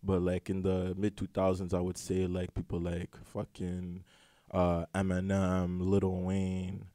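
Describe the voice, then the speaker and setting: A young man from Canada, a rapper, talking casually in an interview, relaxed and rambling with lots of slang and filler. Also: deep voice, measured pace, dry recording, studio quality. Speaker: rapper guest.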